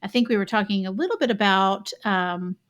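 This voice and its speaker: Woman podcast co-host, mid pitch, relaxed chat with lots of um and uh. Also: fairly quick. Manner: conversational, hedging with fillers.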